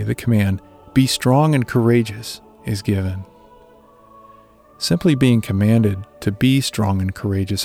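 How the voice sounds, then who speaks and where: A man's voice: fairly low pitch, creaky, warm tone. A man reading a scripted devotional reflection as a religious speaker.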